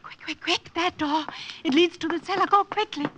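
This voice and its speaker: An actress playing a frightened but brave resistance woman, breathy and fervent, in 1950s radio drama style. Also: very high-pitched, brisk, background noise. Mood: urgent. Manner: fervent, melodramatic. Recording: muffled vintage radio broadcast.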